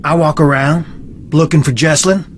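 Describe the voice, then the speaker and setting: A man's voice: on the high side, fairly even intonation, moderate pace, slight background noise, close to an everyday voice. An actor playing a conflicted, defensive young man in a video game, earnest and guarded. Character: conflicted, defensive young fiancé of a missing woman.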